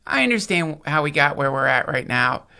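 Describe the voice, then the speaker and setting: A man's voice: fairly high pitch, sing-song, normal speed, creaky, pushed and bright, clean tone. A man from the United States, a podcast host, rambling in casual chat with profanity and emphatic points. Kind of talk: casual conversation.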